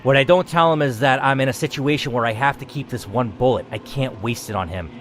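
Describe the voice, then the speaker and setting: A man's voice: mid-range voice, a little fast, fairly bright tone, slight background noise. A man reading a first-person game story aloud as the player, in a dramatic narrating style.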